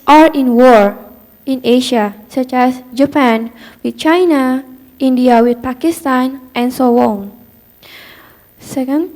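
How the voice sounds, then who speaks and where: A woman's voice: sits high, a little mellow, poor audio. A young woman from Indonesia giving a prepared student presentation, reciting numbered points carefully. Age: young adult.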